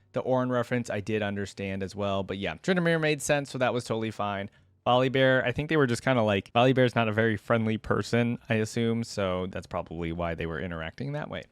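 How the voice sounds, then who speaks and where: A man's voice: medium pitch, clear, resonant tone, no room sound, studio quality. A man giving casual, informal reaction commentary as a video host, often checking in for agreement. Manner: chatty, informal, often seeks agreement with "right?".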